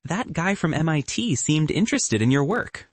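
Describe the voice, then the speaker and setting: A man's voice: on the high side, big pitch swings, moderate pace, no room sound, voice pushed up. A man voicing a playful, quirky young woman physicist who cracks jokes. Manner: playful, joking.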